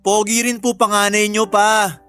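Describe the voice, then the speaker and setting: A man's voice: sits high, little pitch movement, moderate pace, a bit bright, smooth. A man playing a dutiful, playful eldest son who is still a student, in a family radio drama. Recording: faint music bed under voice.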